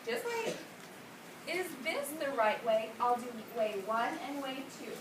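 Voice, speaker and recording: A woman's voice: sits high, very animated, unhurried. A woman music teacher taking a class, asking the children questions and coaxing them to answer. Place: school classroom. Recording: echoey classroom from far off with loud noise.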